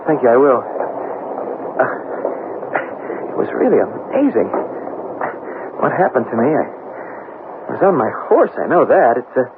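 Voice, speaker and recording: An actor playing an earnest, lovelorn young sailor of twenty in a halting, pleading way, in 1970s radio drama style. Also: male voice, medium pitch, very animated. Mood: shaken, earnest. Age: young adult. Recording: noisy, narrow-band old radio broadcast.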